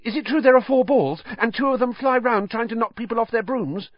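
A man voicing an eager, talkative young schoolboy fan with a piping, breathless voice and a rapid string of questions. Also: very high-pitched, breathy edge, no room sound, pitched above the performer's own voice. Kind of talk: audiobook character voice. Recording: dull, dry lo-fi audio.

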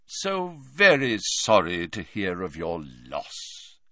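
Smooth pompous old prof, slow and grand, kinda far off, man doing the voice. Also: low-pitched, huge pitch swings, fairly bright tone, no echo, clean studio sound, heavily acted. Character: pompous older male professor, smooth and greedy.

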